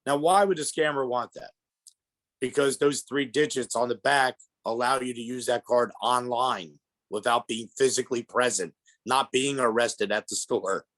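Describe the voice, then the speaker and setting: A man's voice: medium pitch, normal speed, a bit bright. A middle-aged man with a head cold, sounding congested, giving a plainspoken presentation to an audience. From Pennsylvania. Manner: plainspoken, explanatory, addresses audience as folks. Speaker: guest speaker on elder fraud. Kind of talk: public presentation.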